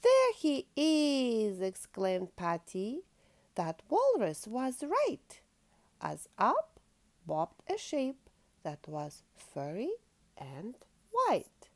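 Female storytime host: very slow, highly expressive children's read-aloud with some vocal fry. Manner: asks listeners questions.

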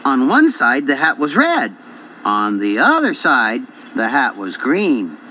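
A man reading a children's folk tale as a storyteller, in an animated scripted style. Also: mid-range voice, slow, a little hiss or hum. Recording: dull cassette tape.

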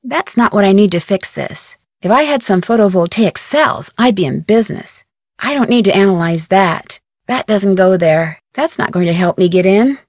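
An actress playing a capable young female detective in a video game, speaking in a measured, friendly, matter-of-fact way. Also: mid-range voice, lively intonation, dry recording, clean studio sound, natural voice. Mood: thoughtful, practical. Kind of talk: video game voice acting, scripted instructions and remarks.

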